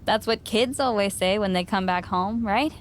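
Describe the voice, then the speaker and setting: A woman's voice: medium pitch, normal speed, slight background noise, lightly acted. A woman voicing a wry female friend in a game, with teasing, dry sarcasm. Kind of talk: game cutscene dialogue.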